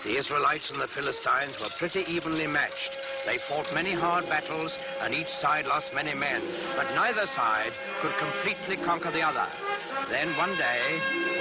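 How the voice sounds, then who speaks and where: A man narrating a scripted children's Bible story in a measured, theatrical, emphatic storytelling manner. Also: fairly high pitch. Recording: dull lo-fi recording, loud music behind. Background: loud music.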